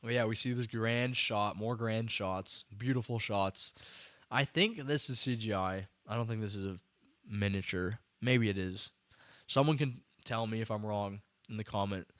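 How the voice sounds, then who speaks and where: Young male streamer, mid pitch, smooth clear voice, dry close mic, a bit tired, rambly. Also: normal speed, fairly bright tone, dry recording. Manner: rambling, restarts sentences, talks to chat viewers. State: slightly tired. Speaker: podcast host livestreaming a film breakdown. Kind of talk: casual enthusiastic film commentary to chat.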